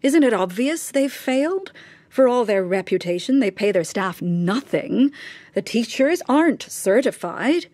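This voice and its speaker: Bitter, high-voiced imperious mother. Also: sing-song, measured pace, a little mellow, dry recording. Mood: insistent, agitated. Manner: clipped, cutting, sarcastic, with insistent rhetorical questions. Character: bitter, imperious, bigoted upper-class mother defending her accused son. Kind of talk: naturalistic radio drama acting.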